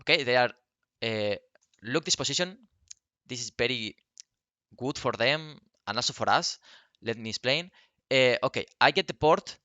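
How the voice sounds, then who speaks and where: Non-native english guy doing game coaching commentary, bright voice, kinda halting but instructive, super dry audio. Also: mid-range voice, expressive, no room sound. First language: not English. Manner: halting, instructional, often checking with "okay?". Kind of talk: unscripted strategy commentary.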